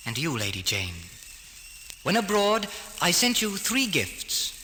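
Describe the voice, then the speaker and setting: An actor playing a proud, fair-minded young prince, regal and declamatory, in an old-time radio drama. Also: male voice, high-pitched, huge pitch swings, slow, pushed and bright, exaggerated voice. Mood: assured, recollecting. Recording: noisy old radio recording, long reverb.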